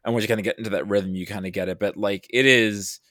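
A man's voice: fairly low pitch, steady tone, fast talker, pushed and bright, dry recording, studio quality. A man from the United States chatting casually as a guest on a podcast. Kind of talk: casual conversational chat.

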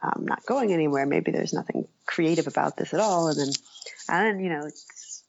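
A woman guest talks through her writing in a casual interview. Her voice sits low with plenty of fry, and she feels her way haltingly through each thought. The recording is dull and lo-fi. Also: a little hiss or hum. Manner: halting, thinking aloud, trails into asides. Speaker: interview guest, nonfiction writer.

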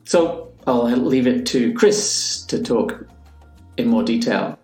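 A man's voice: medium pitch, animated, darkish tone, faint music behind. Podcast host man in a roomy space. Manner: measured, scripted introduction. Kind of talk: podcast intro and interview.

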